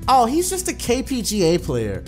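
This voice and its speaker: Man putting on a brash, high-pitched gamer girl. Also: wildly swooping pitch, normal speed, faint music behind, full character voice. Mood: dismissive. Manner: bossy, teasing, blunt. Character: brash, sarcastic young female pro gamer.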